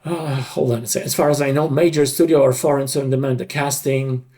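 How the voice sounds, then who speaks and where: Middle-aged male interview guest, gravelly mid-pitched voice, explains things emphatically in conversational phrases. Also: fairly even intonation, brisk, mellow. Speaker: interview guest, dubbing creative director.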